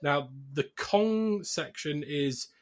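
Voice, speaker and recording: A man's voice: on the high side, lively intonation, slow, a little mellow. A man hosting a podcast, explaining a film's plot in a casual, conversational way. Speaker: podcast host.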